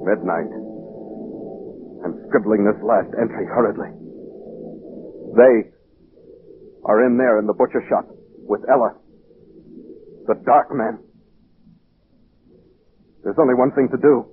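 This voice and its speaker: A man's voice: lowish voice, slow, pauses often, a little hiss or hum. A man acting an anguished, sensitive lovelorn diarist in an old-time radio drama, with earnest, dramatic delivery. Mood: grave, desperate. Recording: thin, phone-like vintage radio recording, music behind.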